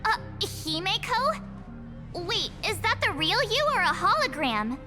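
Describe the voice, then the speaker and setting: A woman playing an expressive, loyal and curious teenage girl adventurer in a video game. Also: extremely high pitch, measured pace, full character voice. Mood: urgent, excited. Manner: exclamatory and expressive. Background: game music.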